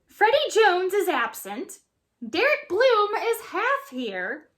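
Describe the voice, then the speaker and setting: A woman's voice: very high voice, huge pitch swings, slow pace, fairly bright tone, pitched above the performer's own voice, full character voice. A woman voicing a gleeful, menacing monster schoolteacher in a children's storybook, commanding and smirking. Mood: gleefully wicked.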